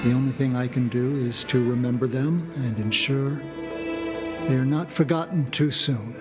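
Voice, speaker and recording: An actor playing a courteous, wistful long-lived subordinate in a video game, speaking in a formal, measured way. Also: male voice, medium pitch, fairly even intonation, natural voice. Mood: wistful pride. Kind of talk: video game character voice acting. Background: loud music.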